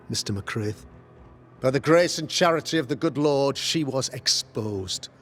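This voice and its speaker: A man's voice: mid-range voice, slight background noise, natural voice. An actor playing a self-righteous Puritan witch-hunting governor in a video game, speaking in a formal, preacherly, pompous way. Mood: righteous, stern. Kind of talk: dramatic video game acting.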